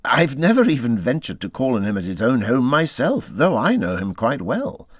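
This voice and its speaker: Storybook Water Rat, played by a man: cautious, evasive fellow, fussy reassurance, hugely animated pitch swings. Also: normal speed, dry recording. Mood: alarmed. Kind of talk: storybook character voice.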